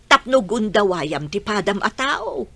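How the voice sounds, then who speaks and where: A woman's voice: mid-range voice, very animated, measured pace, creaky, sharp tone, heavily acted. A woman playing a stern, reproachful mother in a radio drama. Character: stern, reproachful mother scolding her child.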